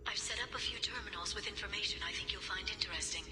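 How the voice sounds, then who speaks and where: A woman voicing a gentle, earnest alien information broker in a video game, speaking sincerely and a little hesitantly. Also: medium pitch, quick pace, natural voice. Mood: warm, thoughtful. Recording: loud music behind the voice.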